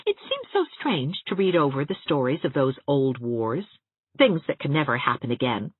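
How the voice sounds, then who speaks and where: Woman audiobook narrator, lively varied intonation, husky tone, scripted literary storytelling, thin band-limited sound. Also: rumbling low, normal speed, dry recording. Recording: narrow, phone-like audiobook sound.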